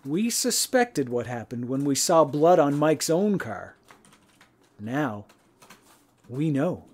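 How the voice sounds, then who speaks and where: A man acting as a calm, shrewd investigator in a radio mystery drama, questioning and explaining in a measured way. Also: fairly high pitch, background noise, heavily acted. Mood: confident, explaining. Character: calm, shrewd male investigator who closes in on culprits.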